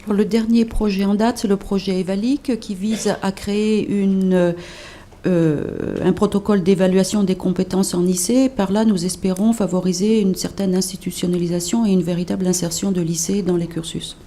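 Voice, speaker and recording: A woman's voice: medium pitch, fairly even intonation, normal speed, dark tone. A woman academic delivering a prepared conference presentation. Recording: light background room noise.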